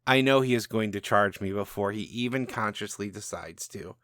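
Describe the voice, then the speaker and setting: A man's voice: on the low side, moderate pace, fairly bright tone, dry recording, clean studio sound, close to an everyday voice. A man reading a storybook tale as a cocky, irreverent and powerful rogue wizard, brash and conspiratorial. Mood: smug, bitter. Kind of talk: first-person storybook narration.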